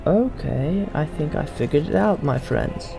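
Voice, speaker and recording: A man narrates a video game playthrough in character, talking as if to his party of companions. His pitch moves around a lot, with a gravelly creak in the voice. Game music plays behind him. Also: animated, a little slow, low-quality recording. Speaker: let's play host. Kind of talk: in-character gaming commentary, narrating and giving party orders.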